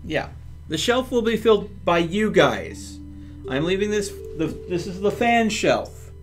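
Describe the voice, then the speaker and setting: A man's voice: sits high, big pitch swings, measured pace, faint music behind. A man hosting a livestream, casually talking through his game step by step and chatting with viewers. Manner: thinks aloud step by step.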